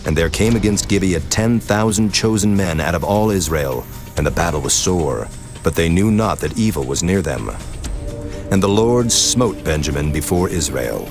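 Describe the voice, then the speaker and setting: Scripture narrator: man, low-pitched, solemn, measured formal read over a clear music bed. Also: animated, a little mellow. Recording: prominent music behind the voice.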